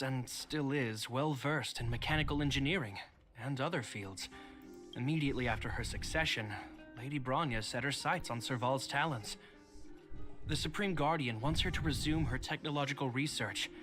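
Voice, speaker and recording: An actor voicing an earnest young man, a worried older brother, speaking in a measured, thoughtful way with frequent sighs. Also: medium pitch, a bit bright, natural voice. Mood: concerned. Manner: measured, thoughtful, sighing often. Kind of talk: game voice acting.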